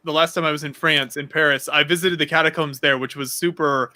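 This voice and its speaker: A man's voice: on the high side, steady tone, fairly quick, sharp tone. A man co-hosting a live video-call stream, easygoing and friendly, with lots of "uh" fillers and light teasing. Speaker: co-host. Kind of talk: casual live-stream chat.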